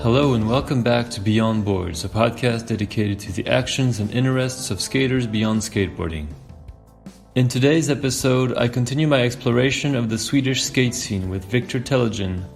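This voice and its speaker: A man's voice: medium pitch, moderate pace, vocal fry, faint music behind. A man hosting a podcast, reading a scripted intro and then chatting with short, encouraging replies. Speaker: podcast host.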